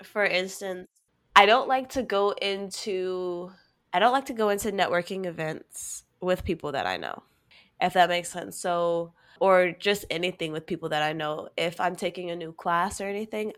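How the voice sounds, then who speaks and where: Stuffy woman with a cold, kinda low voice, rambly chatty podcast talk, says like a lot. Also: normal speed, fairly bright tone. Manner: chatty, storytelling, leans on "like" a lot. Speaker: podcast co-host. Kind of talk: casual chat.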